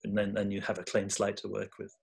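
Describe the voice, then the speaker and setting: A man with a slightly low voice gives an informal talk as a workshop presenter. He is measured and reflective, pausing as he shapes his thoughts. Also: completely flat delivery, vocal fry.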